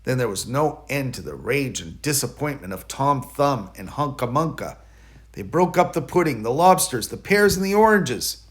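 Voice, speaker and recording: Expressive man reading a bedtime storybook. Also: medium pitch, normal speed. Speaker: storybook reader.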